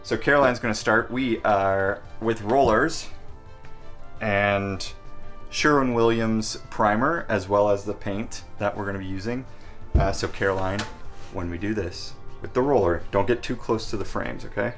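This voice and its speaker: A man's voice: lowish voice, a little slow, fairly bright tone. A young man chatting casually as a vlog host while filming himself inside an empty RV he is painting. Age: young adult. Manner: chatty, upbeat, self-filming. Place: inside a gutted RV, hard bare walls. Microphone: camera held at arm's length. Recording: music playing in the background.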